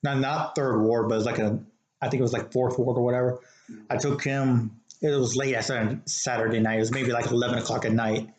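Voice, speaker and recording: A man chatting casually and telling stories in a halting, rambling way full of filler "like"s, as co-host of an informal talk. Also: medium pitch, steady tone, a little mellow. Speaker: show host, rideshare driver telling stories. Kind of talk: casual chat, storytelling. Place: living room, indoors. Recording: roomy, echoey living room.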